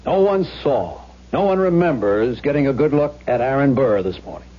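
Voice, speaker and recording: Husky, blunt police lieutenant, played by a man. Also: medium pitch, animated, moderate pace, close to an everyday voice. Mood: probing. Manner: blunt, clipped, dryly sarcastic. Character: weary, impatient, skeptical male police lieutenant. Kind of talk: 1970s radio drama acting. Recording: muffled vintage radio recording.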